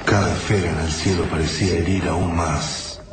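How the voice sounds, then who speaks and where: Guy acting low argentine man thinking out loud, scared and heavy, radio play w loud music. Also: steady tone, moderate pace, natural voice. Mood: dread. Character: fearful man narrating his inner dread. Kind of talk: radio drama inner monologue.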